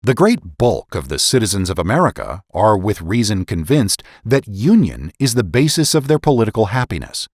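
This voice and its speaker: Expressive man reading formally, very dry sound. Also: lowish voice, dry recording. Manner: formal, deliberate, emphatic oratorical delivery. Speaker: podcast host and narrator. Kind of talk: dramatic reading of historical political essays.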